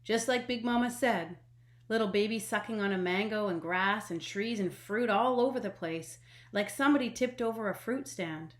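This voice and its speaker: A woman reading a children's storybook aloud expressively, with dramatic emphasis and hushed phrase endings. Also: medium pitch, moderate pace. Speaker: storybook reader. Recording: echoey room.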